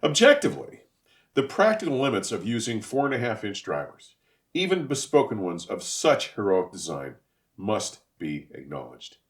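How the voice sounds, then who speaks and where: A man narrating a scripted equipment review in an emphatic, florid manner, with deliberate enunciation. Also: on the low side, wildly swooping pitch.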